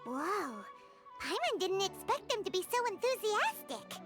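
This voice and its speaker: A tiny, boastful fairy companion chatters in a very high, excitable voice that leaps up and down, always speaking of herself by name. Loud game music plays underneath. It's a polished video game performance. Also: female voice, measured pace, heavily acted. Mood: chirpy.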